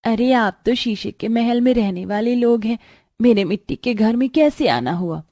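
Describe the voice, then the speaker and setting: A woman's voice: fairly high pitch, lively intonation, normal speed, warm tone, no echo, studio quality. A woman voicing a humble, poor mother in a moral story, exclaiming in awe. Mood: amazed. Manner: exclaiming, awed.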